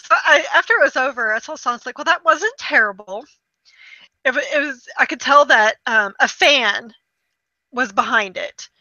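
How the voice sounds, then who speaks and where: A woman in her thirties co-hosts a podcast in an easy conversational style. Her voice is high and bright, recorded dry with no room sound. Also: moderate pace, no room sound, lo-fi sound. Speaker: podcast co-host. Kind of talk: casual conversational chat.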